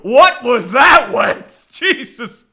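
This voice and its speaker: A man's voice: extremely high pitch, sing-song, very slow, pushed and bright. A man giving casual, profane live fan commentary on a football game, calling plays and rambling with exasperated restarts. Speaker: fan play-by-play streamer.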